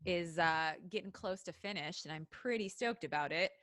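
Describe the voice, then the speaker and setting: A woman's voice: lowish voice, moderate pace, a bit bright, no echo. A woman co-hosting a podcast, chatting in an informal, slangy way. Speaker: podcast co-host. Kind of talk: casual chat on a live podcast.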